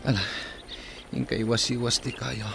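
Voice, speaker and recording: Male actor as weary injured captain, creaky strained voice, medium pitch, commanding, noisy ambience, radio drama. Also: fairly even intonation, normal speed, lightly acted. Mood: urgent instructing.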